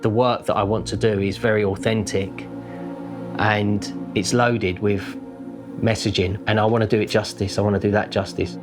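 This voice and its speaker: A man with a London accent, a painter speaking reflectively in a documentary interview, informal and thoughtful. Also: fairly low pitch. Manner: thoughtful, informal, with many "kind of" fillers. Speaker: working-class painter.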